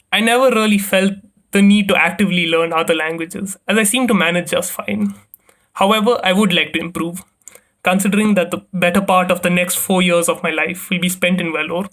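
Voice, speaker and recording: High-voiced young Indian student presenting. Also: male voice, a bit bright. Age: young adult. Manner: formal, rehearsed, reading from a script. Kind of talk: prepared class presentation.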